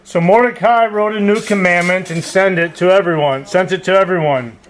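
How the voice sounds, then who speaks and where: A man's voice: high voice, moderate pace, rough recording. A man telling a story as narrator of an amateur puppet show. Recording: faint background noise.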